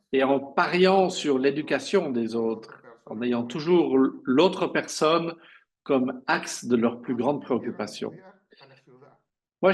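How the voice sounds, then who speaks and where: A male simultaneous interpreter voices conference speeches as they happen. His pitch sits in the middle for a man. He speaks haltingly, stretching out pauses as he renders each phrase.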